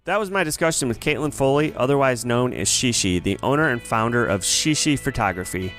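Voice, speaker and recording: A man's voice: mid-range voice, expressive, a little fast, a bit bright, faint music behind. A man hosting a podcast, asking questions with friendly hesitations and reading a closing outro. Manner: friendly, with frequent yeahs and hesitations. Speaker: podcast host. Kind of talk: casual interview, then scripted outro.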